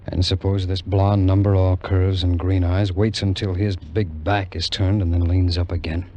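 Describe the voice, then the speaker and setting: A man's voice: low-pitched, flat delivery, moderate pace, dark tone, a little hiss or hum, lightly acted. An actor playing a wary, cynical American ex-soldier who tells his story in slangy, wry 1950s radio drama narration. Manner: slangy, hard-boiled, wry. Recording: vintage radio recording with music.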